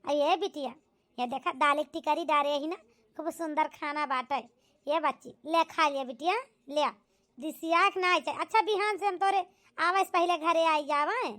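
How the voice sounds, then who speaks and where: A woman's voice: sits high, moderate pace, a bit bright. A woman voicing a doting, hard-working widowed village mother in a cartoon, with an Awadhi accent and a plaintive, coaxing rustic delivery. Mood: coaxing, affectionate. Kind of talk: homemade cartoon comedy drama dialogue.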